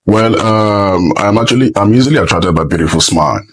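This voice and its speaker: A man's voice: on the low side, expressive, brisk, a little hiss or hum. A man with a Nigerian accent, a talk show guest speaking casually and hesitantly, with many fillers.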